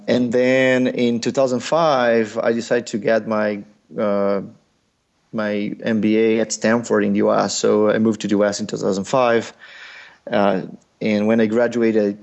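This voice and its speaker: Brazilian man explaining in halting English. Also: fairly low pitch, gravelly, a little mellow. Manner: thoughtful, many pauses and fillers. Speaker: startup founder. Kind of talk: business interview.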